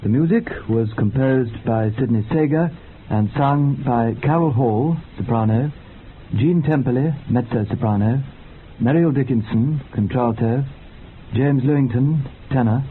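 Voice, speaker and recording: A male radio announcer with a medium-pitched voice reads scripted credits in a formal style. The recording is a little dull, with faint background noise. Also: measured pace.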